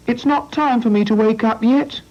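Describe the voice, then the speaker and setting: A man voicing a lazy little male hedgehog with a tiny, drowsy, very high voice, on a lo-fi storybook recording. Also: moderate pace, darkish tone. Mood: sleepy grumbling. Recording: lo-fi old recording.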